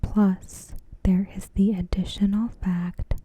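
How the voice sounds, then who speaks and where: A woman ASMR host reads a review in a soft, breathy, unvoiced whisper with a deliberate delivery. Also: medium pitch, little pitch movement, slight background noise.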